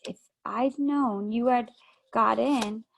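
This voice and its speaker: A woman playing a gushing, excited young girl. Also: on the high side, slow, gravelly, voice pushed up, exaggerated voice, poor audio. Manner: breathless, gushing. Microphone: video call.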